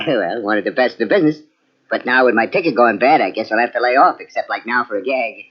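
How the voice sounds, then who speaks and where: An actor playing an easygoing small-time pickpocket with casual, slangy street talk in a vintage radio mystery. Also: male voice, medium pitch, quick pace, lightly acted. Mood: sly, amiable. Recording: muffled vintage radio drama on cassette.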